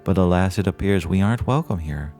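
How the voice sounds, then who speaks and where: A man voicing a serene, kindly elder male fairy who speaks gently in an audio drama. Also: low-pitched, fairly quick, soft background music. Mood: gentle reproach. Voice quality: gentle, kind.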